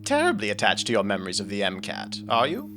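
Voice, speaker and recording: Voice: sits low, wildly swooping pitch, fast, music in the background, exaggerated voice. An actor playing a sardonic, flirtatious demon in an audio drama, with arch, teasing and formal phrasing. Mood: wry, concerned. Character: sardonic, flirtatious, theatrical demon lover, worldly and weary.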